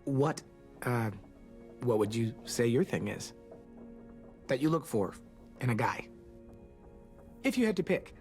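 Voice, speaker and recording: A charming teenage boy talks in an American accent, hesitant and self-deprecating. The delivery is wry, natural video game acting. There is soft music behind the voice. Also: mid-range voice, sing-song, a little slow, pauses often. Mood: playful, charming.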